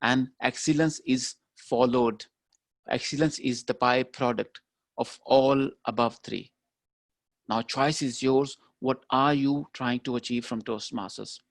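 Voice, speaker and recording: A man's voice: medium pitch, steady tone, unhurried, no room sound. A man giving a motivational keynote speech, talking emphatically and repeating his key phrases. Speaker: keynote speaker.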